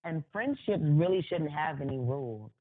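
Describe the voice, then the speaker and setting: A woman's voice: deep voice, lively intonation, normal speed. A woman interview guest giving her opinions conversationally, repeating phrases for emphasis. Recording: thin phone-like line.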